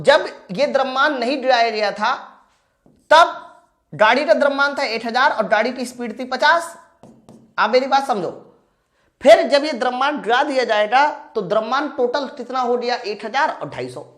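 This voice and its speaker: A man's voice: very high voice, animated, moderate pace, a bit bright. A man teaching an exam-prep lesson, asking and answering his own questions as he addresses students directly. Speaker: physics teacher. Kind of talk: exam-prep lesson, working through problems aloud.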